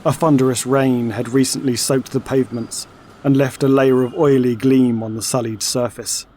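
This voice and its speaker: A man giving scripted, dramatic narration for an audio story in a measured way. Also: mid-range voice, little pitch movement, a little mellow, a little hiss or hum. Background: music and sound effects.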